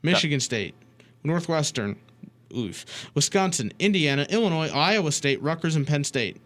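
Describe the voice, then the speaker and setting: A man's voice: on the high side, expressive, moderate pace, a bit bright. A man co-hosting a sports talk show, casually debating and putting challenging questions to his partner.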